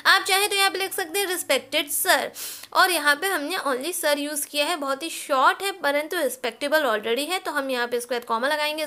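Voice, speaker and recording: High, bright-voiced Indian woman teaching. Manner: switches between Hindi and English; addresses pupils directly as children. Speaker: English teacher. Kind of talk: instructional lesson, explaining step by step to students.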